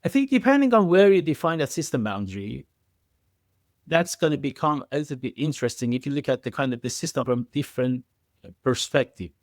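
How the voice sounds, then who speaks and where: A man's voice: medium pitch, animated, fairly quick, no room sound, clean studio sound. A man speaking as an expert podcast guest, explaining technical ideas in a hesitant, circling way with frequent self-corrections.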